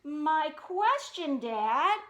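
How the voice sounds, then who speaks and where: Storybook cub played by a woman: inquisitive little boy bear, very high, lively, eager, echoey room. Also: extremely slow, noisy background, full character voice. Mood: hesitant. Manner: eager, stammering questioner. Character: curious, hesitant young boy bear cub.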